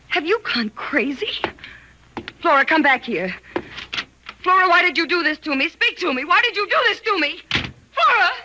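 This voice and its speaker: An actress playing a frightened, distraught wife in a 1940s radio thriller, speaking in an earnest, halting, dramatic way. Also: female voice, extremely high pitch. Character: frightened, distraught wife narrating her own ordeal. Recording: noisy vintage 1940s radio recording.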